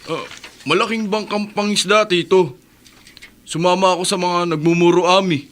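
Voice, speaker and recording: A polite young newcomer. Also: male voice, high-pitched, steady tone, moderate pace, fairly bright tone. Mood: earnest. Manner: polite, respectful, uses po. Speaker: radio drama character. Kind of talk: radio drama acting.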